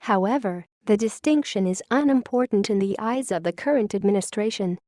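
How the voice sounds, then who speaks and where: Mellow woman narrator, formal and clinical. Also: medium pitch, no echo, studio quality. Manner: formal, clinical, measured reading. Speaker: narrator reading a classified file. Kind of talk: scripted narration of a fictional report.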